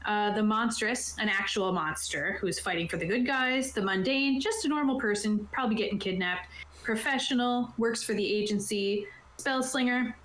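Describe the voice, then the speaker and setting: A woman's voice: mid-range voice, a bit bright. A woman game master casually explaining a tabletop game setup, chatty and informal with jokey asides. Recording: roomy, echoey sound.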